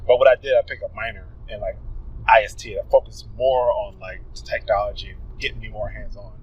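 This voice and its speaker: A man, a guest telling his story in casual conversation and acting out his past thoughts with a quick laugh. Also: fairly low pitch, fairly even intonation, highs cut off, a little hiss or hum. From Philadelphia, USA.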